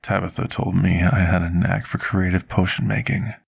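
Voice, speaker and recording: A man playing a brooding, formal-spoken potion master who tells his past in an intimate, measured ASMR roleplay monologue, with a gravelly, husky voice. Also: low voice, steady tone, exaggerated voice. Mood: reflective, fascinated. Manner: formal, archaic, measured storytelling diction.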